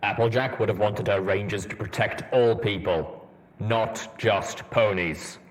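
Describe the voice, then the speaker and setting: A man voicing a stern, grave old armored soldier pony ghoul in a low, rumbling, weighty voice for a story reading. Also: a little slow, close to an everyday voice. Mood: solemn, reflective.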